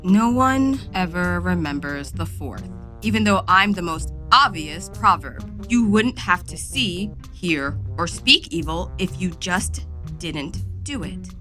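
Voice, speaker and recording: Secretive monkey sage, sardonic, lecturing, low and crisp-edged, audio drama, faint music underneath. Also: female voice, animated, slow, pushed and bright, heavily acted. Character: aloof, sardonic wise monkey, escaped and secretive.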